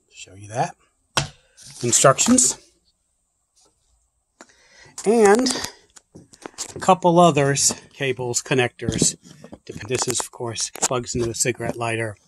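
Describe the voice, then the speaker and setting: A man's voice: medium pitch, a little hiss or hum. Guy filming on his phone out on the porch, just talking through his gear, kinda rambly. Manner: plainspoken, thinks aloud, loose restarts. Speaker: prepper channel host. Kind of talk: casual unboxing walkthrough. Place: porch and yard, outdoors.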